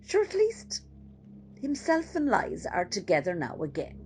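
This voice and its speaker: A woman playing a nosy older Irish village gossip in a radio play, with an Irish accent, husky and falsely pitying. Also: fairly low pitch, wildly swooping pitch, slight background noise, full character voice. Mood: gossipy, mock-pitying. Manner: gossipy, relishing, drawn-out.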